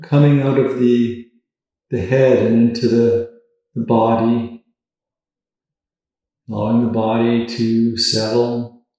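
Male meditation guide, even and very slow, gentle delivery with long pauses, recorded in a heavily echoing space. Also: medium pitch. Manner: gentle, unhurried, with long pauses between phrases. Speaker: meditation teacher. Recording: very echoey room, distant from mic.

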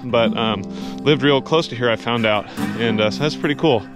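A man in his thirties talks to a camera held at arm's length out on a frozen lake. He sounds easygoing and chatty at a middling pitch. Music plays clearly underneath. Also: normal speed. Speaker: vlogger. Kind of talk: casual vlog talk to camera. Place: outdoors on a frozen lake in snowy woods.

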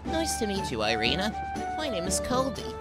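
A woman voicing a male steam engine who leads a small mountain railway, speaking in a steady, welcoming way, with music underneath. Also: mid-range voice, moderate pace, voice pushed down.

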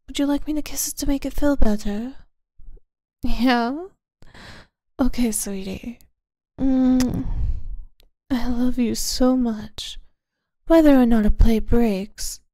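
Gentle woman for a comfort roleplay: high, mellow voice, close and dry, soothing and sometimes whispered. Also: no echo. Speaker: audio roleplay performer.

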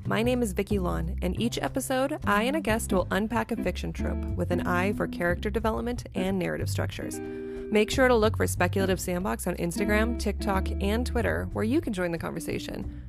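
A woman speaks as a podcast host at a middle pitch. Her delivery shifts between prepared introduction and casual conversation, with music clearly playing behind her. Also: a little fast.

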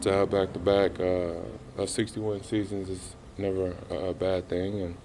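A man in his thirties answering reporters after a game, halting and subdued, with many fillers. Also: sits low, level tone, noisy background. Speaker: basketball player at a postgame press conference. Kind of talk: postgame press interview. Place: arena press room, indoors. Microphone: reporters' handheld mics held close.

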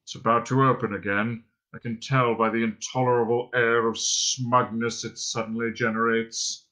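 Storybook robot, played by a male narrator: slow, droning, terminally bored and self-pitying, hard-edged deadpan. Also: mid-range voice, poor audio. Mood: bitter sarcasm. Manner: droning, muttering, deadpan, sarcastic.

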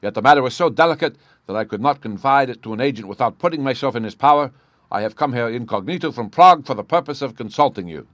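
A man's voice: medium pitch, expressive, quick pace, fairly bright tone, no echo, studio quality, pitched above the performer's own voice, lightly acted. A man voicing a proud, agitated king of about thirty in an audiobook story, with a formal, grandiose and emphatic manner. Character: proud agitated thirty-year-old nobleman, a masked Bohemian king.

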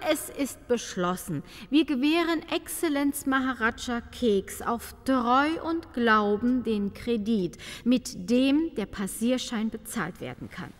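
A woman's voice: on the high side, fairly bright tone. A woman playing a haughty, refined countess in a comedic audio drama, with formal, theatrical diction. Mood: decisive. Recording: long hall reverb.

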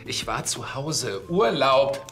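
A man's voice: medium pitch, sing-song, takes it slowly, a bit bright, full character voice. A man playing a self-possessed, music-loving church cantor who is a suspect in a TV crime drama. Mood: insistent. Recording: music behind the voice.

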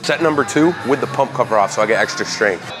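A man's voice: medium pitch, lively intonation, fast talker, music in the background, low-quality recording. A young man talking casually on a lapel mic in a big indoor gym, joking and coaching through a workout. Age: young adult. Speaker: fitness vlogger. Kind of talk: casual workout vlog talk.

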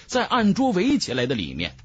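A male narrator tells a fantasy tale in dramatic audiobook style. His voice sits a little high and swings widely in pitch. Faint music plays behind him. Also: wildly swooping pitch, normal speed, slightly rough. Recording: music under the voice.

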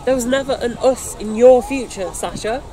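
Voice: mid-range voice, moderate pace, mellow, natural voice. A young performer acting a bitter, wounded musician in a live play, speaking with reproachful, emphatic repetition. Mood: frustrated, bitter. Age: young adult. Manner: emphatic, reproachful, repeating phrases. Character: bitter, wounded young musician confronting an old best friend. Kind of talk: live theatre dialogue. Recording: noisy outdoor location recording.

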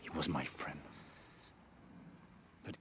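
An actor playing a middle-aged, ruthless zealot in a video game cutscene, raspy, intense and self-justifying. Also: male voice, slow, noisy background, lightly acted. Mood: grave, bitter. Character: middle-aged ruthless zealot villain defending his hidden homeland.

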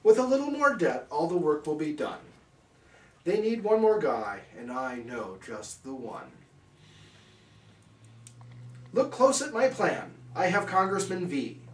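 A man's voice: on the high side, wildly swooping pitch, unhurried, echoey room, distant mic. A man voicing a sly, boastful, pushy cat from a rhyming storybook, with a showy singsong manner. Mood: bossy, scheming.

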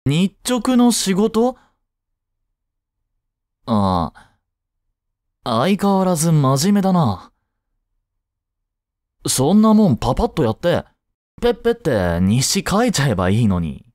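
A young man acts out a teenage boy in a voice drama, the easygoing childhood friend who teases bluntly but is protective. He talks slowly, with pauses and very lively swings in pitch. The recording is dry and close. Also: no room sound, exaggerated voice. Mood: laid-back, casual. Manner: casual, blunt, teasing banter with pauses. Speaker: voice actor. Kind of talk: first-person voice drama, casual chat to the listener.

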